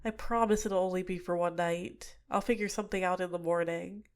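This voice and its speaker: A young woman playing a scheming, manipulative villainess in an ASMR roleplay, speaking softly and close to the mic. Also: fairly low pitch, steady tone, brisk, close to an everyday voice. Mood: shy, apologetic.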